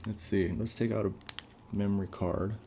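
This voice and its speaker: Low-voiced, creaky casual man reviewing gadgets. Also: steady tone, normal speed, warm tone, a little hiss or hum. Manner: off-the-cuff, thinking aloud while reading out menus. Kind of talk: casual unboxing, first-impressions commentary.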